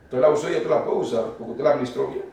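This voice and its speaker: A man's voice: medium pitch, very fast, creaky, warm tone, far from the mic. A man from Colombia preaching a fervent, emphatic sermon to a congregation that answers back, caught by a room microphone in a church hall. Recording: very echoey hall, voice from afar.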